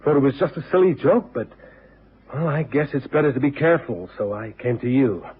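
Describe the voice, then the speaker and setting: A man's voice: medium pitch, fairly quick, slightly rough. A man playing a businesslike, anxious concert manager with a polite, hesitant manner in a radio drama. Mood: worried, businesslike. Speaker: supporting actor. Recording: tinny old radio recording.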